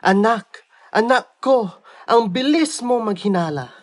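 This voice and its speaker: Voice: medium pitch, animated, slow. An actor playing a powerful, cold older father in a radio drama, taunting and gloating in a controlled way.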